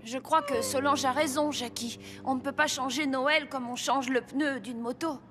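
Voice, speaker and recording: A woman's voice: sits high, fairly even intonation, moderate pace, a bit bright, faint music behind. A woman voicing an earnest, polite young boy apprentice in a children's cartoon, hesitant and tactful. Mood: worried. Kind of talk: animated cartoon voice acting in French.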